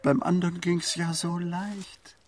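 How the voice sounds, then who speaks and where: A woman's voice: fairly low pitch, flat delivery, measured pace, a little mellow, a little hiss or hum, lightly acted. A woman in a radio play playing a callous, gleeful female fiend, drawing words out from murmurs to shrieks. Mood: wicked glee. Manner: drawn out, veering from murmurs to shrieks. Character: callous, gleeful female head-tearer, cackling and macabre. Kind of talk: stylized radio play acting.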